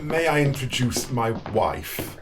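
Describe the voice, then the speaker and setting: A man's voice: medium pitch, very animated, slow. A man playing a formal, testy wealthy husband with clipped politeness in an audio drama. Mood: polite, uneasy.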